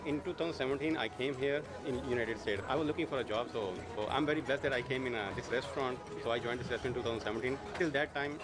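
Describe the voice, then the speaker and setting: Modest quick-talking man. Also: medium pitch. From Lahore, Pakistan. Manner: modest, humble. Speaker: restaurant manager. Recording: outdoors with loud music behind.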